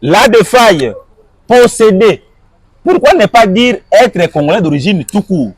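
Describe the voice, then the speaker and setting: A man's voice: high voice, big pitch swings, unhurried, breathy edge, dry recording. A man answering an interviewer, arguing his point with repeated rhetorical questions and switching between French and Lingala. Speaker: guest.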